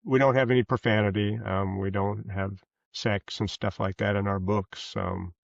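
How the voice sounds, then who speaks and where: Lo-fi dry recording, man, gravelly voice, rambling conversational interview guest. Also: on the low side, steady tone, moderate pace, dry recording. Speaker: guest author. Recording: dull, lo-fi sound.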